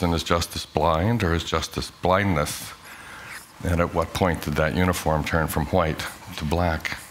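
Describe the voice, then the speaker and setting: A middle-aged man, an architect, giving a prepared talk with emphatic, measured delivery and rhetorical questions. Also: low-pitched, a bit bright, slight background noise. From grew up in Hong Kong. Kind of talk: prepared conference talk played in class.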